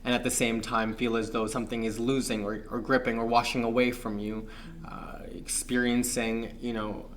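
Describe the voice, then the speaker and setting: A young man from Pakistan, a guest on an interview show, talking in a reflective, measured way with frequent fillers. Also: mid-range voice, little pitch movement. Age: young adult. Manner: thoughtful, measured, many fillers.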